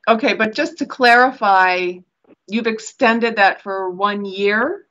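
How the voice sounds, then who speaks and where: An older woman moderating a public online meeting as an elected official, speaking in a deliberate, encouraging way over a video call. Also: mid-range voice, bright, forceful tone. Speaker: elected official hosting an event. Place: small office. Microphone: video call computer microphone. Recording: dull video call audio.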